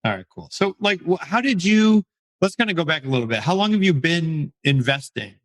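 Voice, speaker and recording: A male podcast host talks in a hoarse voice, worn down by a cold. He is chatty and upbeat and pokes fun at himself as he runs a casual interview. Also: a little high, big pitch swings, brisk, fairly bright tone. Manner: chatty, enthusiastic, self-deprecating jokes.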